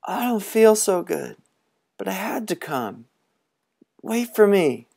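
A man voicing a frail, sickly small boy with a high, thin, breathy voice and weakening cries. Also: big pitch swings, slow, warm tone, heavily acted. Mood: pleading.

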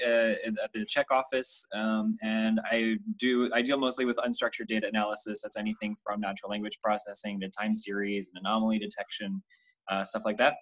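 Cheerful lower-voiced man. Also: a bit bright, low-quality recording. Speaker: meeting participant. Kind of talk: casual self-introduction on a call.

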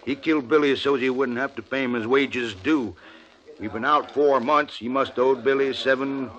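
A man's voice: mid-range voice, normal speed, fairly bright tone, close to an everyday voice. An American man playing a blunt, wary frontier hide skinner with a plain rural Western drawl in an old-time radio drama. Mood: indignant, wary. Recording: muffled vintage radio recording with music.

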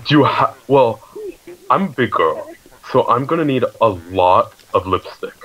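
A man playing an earnest, naively persistent hefty girl shopper on a prank phone call, speaking haltingly. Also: medium pitch, lively intonation, fairly bright tone. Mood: hesitant, earnest.

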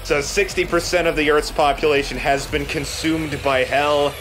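A man's voice: on the high side, fairly even intonation, normal speed, over music. A man hosting a Let's Play, giving casual, conversational explanations of a video game. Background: video game sounds.

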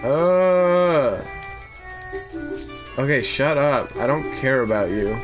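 A man hosts a casual let's play, rambling and swearing as he goes. His pitch sits in the middle for a man. Video game music plays behind his voice. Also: animated, takes it slowly. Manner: crude, profane, rambling. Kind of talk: casual gaming commentary.

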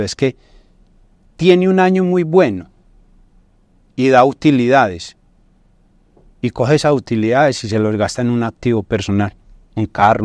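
A man's voice: mid-range voice, darkish tone, no echo. A middle-aged man with a Colombian accent explains business finance as a podcast guest, in a didactic way with frequent tag questions. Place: indoor podcast studio. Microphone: lapel mic.

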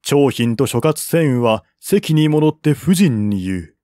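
A man narrating a historical novel in a measured, formal reading style. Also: mid-range voice, animated, warm tone, dry recording, studio quality. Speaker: audiobook narrator.

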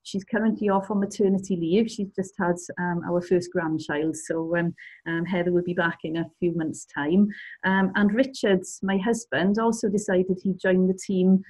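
Husky South Welsh woman, hesitant. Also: on the low side, fairly even intonation, normal speed, a little mellow, rough recording. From South Wales. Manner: hesitant, with frequent ums. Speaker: accountant and practice owner. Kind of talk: interview answers over video call. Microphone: video call.

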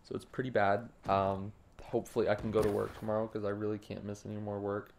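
A young man with a sore throat, his voice hoarse, giving rambling, apologetic voice-over commentary over gameplay. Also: lowish voice, completely flat delivery, fast, slight background noise. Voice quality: hoarse, gravelly. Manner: rambling, apologetic, many ums. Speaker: gaming YouTuber. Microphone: home desk mic with a cough drop.

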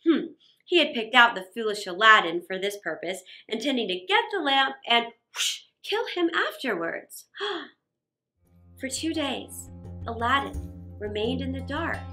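A middle-aged woman reading a children's story aloud in a theatrical, expressive narrating style. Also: a little high, unhurried, faint music behind. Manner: theatrical, expressive, with gasps and sound words.

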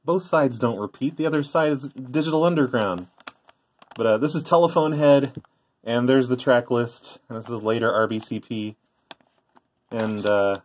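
Low-voiced man, rambling casually. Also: a little hiss or hum. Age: middle-aged. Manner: rambling, offhand. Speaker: vlogger, former prank call tape trader. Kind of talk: casual show-and-tell commentary. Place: indoors by a tape deck.

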